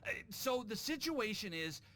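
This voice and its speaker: An actor playing a reluctant, fussy young alchemist in a video game, hesitant and grumbling. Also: male voice, high-pitched, sing-song, normal speed, pushed and bright, heavily acted.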